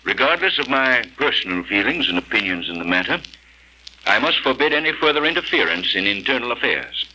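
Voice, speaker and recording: An actor playing a cautious, measured ambassador in an old radio drama. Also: male voice, medium pitch, sing-song, sharp tone, breathy edge, heavily acted, low-quality recording. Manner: measured, official. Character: cautious older American ambassador. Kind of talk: 1940s radio acting.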